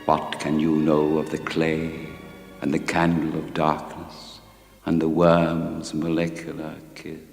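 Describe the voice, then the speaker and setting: An actor voicing a sinister ghostly man's voice in someone's head, slowly and solemnly intoning verse in a radio drama. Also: rumbling low, completely flat delivery, gravelly, heavily acted. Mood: ominous, solemn. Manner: slow, solemn, poetic intoning. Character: sinister ghostly male voice inside a drinker's head. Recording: cavernous reverb under loud music.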